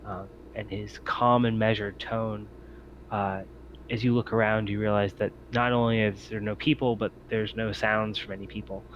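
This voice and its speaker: A man improvising descriptive narration as game master of a tabletop game, in a halting way. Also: fairly low pitch, level tone, a bit bright. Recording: dull audio with faint noise.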